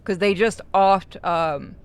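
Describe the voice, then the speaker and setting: A woman streaming a game and chatting casually with friends, blunt and quick to swear. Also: fairly low pitch, clear, resonant tone. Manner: blunt, profane, gossipy. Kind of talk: casual gaming stream chat.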